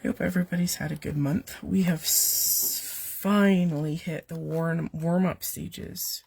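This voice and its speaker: A woman's voice: low voice, steady tone, measured pace, gravelly, a little mellow, husky, no room sound. A woman with a cold hosting a live card-making stream from her home basement, giving a chatty, rambling step-by-step demonstration. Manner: chatty, rambling, with fillers and asides to her cat. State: has a cold, voice weak and coming and going. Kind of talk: casual step-by-step craft demonstration.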